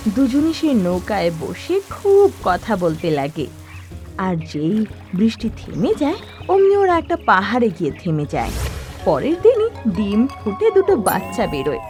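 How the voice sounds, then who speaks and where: A female narrator tells a scripted children's fable. Her mid-range voice moves with lively intonation. Gentle music runs underneath. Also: mid-range voice, normal speed.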